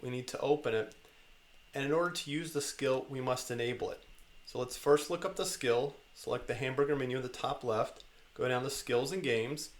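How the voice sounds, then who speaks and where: A man's voice: mid-range voice, fairly quick. A man narrating an instructional screencast as the presenter, guiding the listener step by step. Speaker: tutorial presenter.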